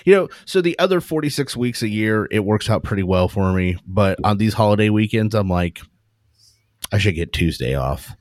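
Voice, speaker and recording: A man's voice: fairly low pitch, lively intonation, moderate pace, a little mellow, no echo, clean studio sound. A man co-hosting a podcast, chatting casually and cracking mock-indignant jokes. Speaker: podcast co-host. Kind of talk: casual chat.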